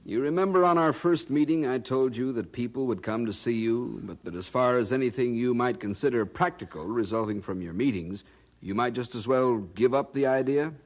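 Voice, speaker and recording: An actor playing a genial, skeptical colonial printer in an audio drama, speaking in a wry, amused, conversational way. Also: male voice, medium pitch, fairly quick, lightly acted. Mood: amused excitement.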